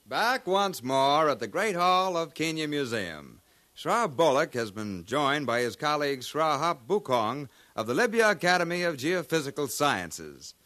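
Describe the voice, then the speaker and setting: Polished news anchor, bright animated voice, fairly high, 1950s radio play. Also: male voice, a little slow, a little hiss or hum, full character voice. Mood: courteous. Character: polished male future broadcast anchor, courteous and brisk. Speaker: program anchor. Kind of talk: 1950s radio drama acting.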